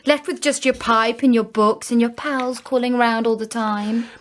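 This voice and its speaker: An actress playing a sensible, teasing young woman in a radio drama, brisk and affectionate. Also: fairly high pitch, fairly even intonation, slight background noise, lightly acted. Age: young adult.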